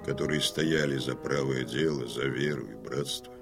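An actor playing a stern, proud old Cossack chieftain in a radio drama, declaiming mournfully, moving between hushed and loud delivery. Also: male voice, a bass voice, steady tone, normal speed, gravelly, over music. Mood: bitter mourning. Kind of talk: theatrical radio drama monologue.

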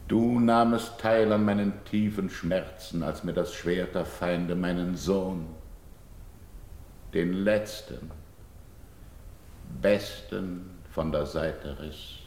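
A proud older king in a classical radio play, speaks very low with a gravelly creak. His is solemn and slow, declaimed as verse in a roomy space. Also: darkish tone, a little hiss or hum. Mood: grave, sorrowful. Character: grieving, proud older king, childless widower-like ruler seeking a bride.

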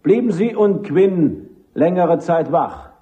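A man's voice: on the high side, expressive, takes it slowly, phone-quality sound. A man playing a cocky, vain army major in a German radio play, a military prosecutor who speaks formally and with self-assurance. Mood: formal, probing.

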